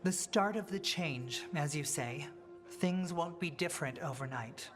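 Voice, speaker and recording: An actress playing a determined female rebel leader in a video game, earnest, measured and authoritative. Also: low-pitched, music in the background. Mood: resolute. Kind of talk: video game cutscene acting.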